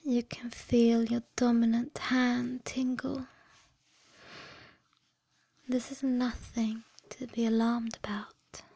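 A woman in a scripted hypnosis ASMR style, mostly whispering breathily in a hushed, deliberate, soothing yet commanding manner. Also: a little high, vocal fry, husky, no room sound. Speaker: erotic hypnosis audio performer.

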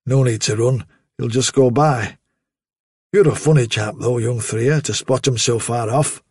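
A calm storybook rabbit scout read by a man, bantering and unbothered. The voice is low-key, a little husky and very dry in sound. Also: measured pace, no echo.

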